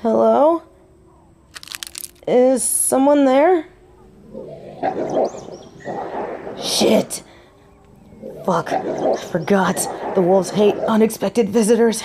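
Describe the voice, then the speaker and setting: Woman acting a slow-talking, defiant young biker girl. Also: mid-range voice, noisy background, natural voice. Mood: frightened, pleading. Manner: emphatic, dramatic delivery. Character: defiant, foul-mouthed young biker girlfriend, fiercely loyal. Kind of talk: scripted audio roleplay acting.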